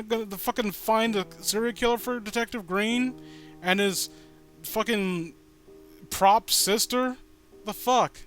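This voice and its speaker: A man's voice: high-pitched, fairly bright tone. A man reading a story aloud with comedic, exasperated commentary, swearing freely and stumbling over words. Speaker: host reading and riffing on a fan-written horror story.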